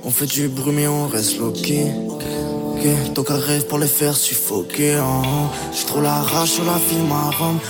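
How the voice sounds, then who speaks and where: Man rapping, boastful swagger, loud hip hop beat behind. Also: fairly high pitch, steady tone, a little slow. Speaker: rapper. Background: loud hip hop music.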